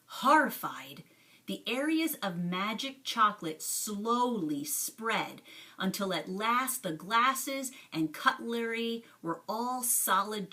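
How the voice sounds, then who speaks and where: A woman narrates a children's book at a slow, deliberate pace. Her voice is bright and expressive, picked up from slightly away from the microphone. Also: on the high side, distant mic. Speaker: storybook reader.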